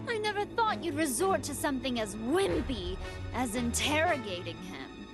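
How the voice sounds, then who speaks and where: A sadistic android villainess, high-pitched and haughty. Her taunts swing up and down with smug theatrical cruelty. Music plays behind this anime game voice. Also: very animated, moderate pace. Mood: cool contempt. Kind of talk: English anime video game voice acting. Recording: loud music under the voice.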